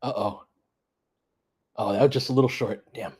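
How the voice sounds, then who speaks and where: A man's voice: lowish voice, steady tone, normal speed, darkish tone, husky. A man hosting a casual live basketball play-by-play, chatting informally with listeners and analysing play as it happens. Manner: conversational, analytical, informal with mild swearing. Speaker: host of a fan audio play-by-play stream.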